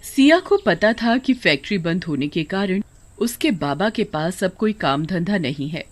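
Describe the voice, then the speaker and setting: A woman's voice: fairly low pitch, lively intonation, unhurried. Woman narrating a scripted story.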